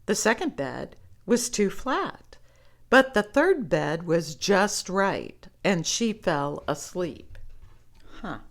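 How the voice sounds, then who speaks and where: A woman reading stories aloud to children in an expressive, conversational way, as if talking directly to young listeners. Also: on the low side, takes it slowly. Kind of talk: read-aloud storytelling with chatty commentary.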